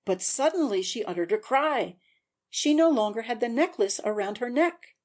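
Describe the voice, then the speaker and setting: A woman's voice: a little high, animated, normal speed, a little mellow. A woman narrator giving a scripted, dramatic audiobook reading.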